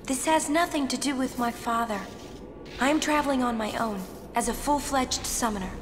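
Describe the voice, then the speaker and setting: An actress playing a young, resolute summoner in a game, speaking firmly and sincerely. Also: female voice, high-pitched, normal speed, noisy background, close to an everyday voice. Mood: quietly defiant. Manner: firm, sincere. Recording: hall reverb, game ambience behind.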